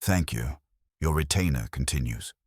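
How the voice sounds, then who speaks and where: A man voicing an imperious detective boss in an audiobook, with formal, measured, pompous diction. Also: rumbling low, expressive, slightly rough, no room sound, clean studio sound, heavily acted. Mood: measured, probing.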